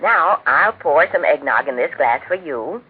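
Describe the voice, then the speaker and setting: An American woman with a genteel, measured, instructive manner, speaking scripted homemaking talk as host of a 1940s radio cooking show. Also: sits low, expressive, husky. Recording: tinny band-limited 1940s radio recording.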